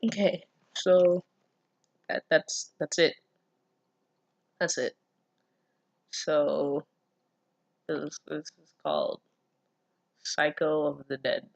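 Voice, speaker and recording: A woman with a fairly low voice in a dry, echo-free recording. She comments casually and comically on what she reads, swearing freely. Her manner is sardonic and exasperated, often stammering. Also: drawn-out words, creaky, pauses often. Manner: breaks into laughter and stammers. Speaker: reader and commentator of a fan fiction series. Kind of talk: casual comedic reading with running commentary.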